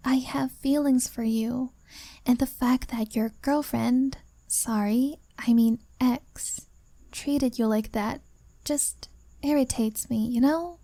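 A woman voicing a shy young woman who confesses her feelings to a younger friend, speaking softly and often in a whisper. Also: high voice, fairly even intonation, takes it slowly, dry recording, exaggerated voice. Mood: tender. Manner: soft, hesitant, often whispered. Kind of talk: ASMR-style roleplay monologue.

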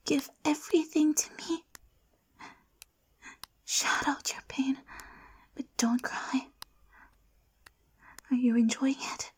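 A woman's voice: high-pitched, a little mellow. A woman playing a domineering, possessive lover in an intimate audio roleplay, whispery and commanding. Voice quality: breathy, whispery. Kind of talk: adult ASMR audio roleplay.

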